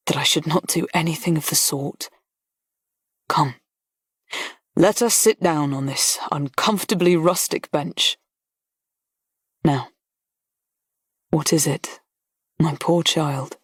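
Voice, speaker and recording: A woman voicing a grave army colonel who speaks quietly and with restraint. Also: low voice, takes it slowly, pauses often, husky, no room sound, studio quality, heavily acted. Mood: gentle.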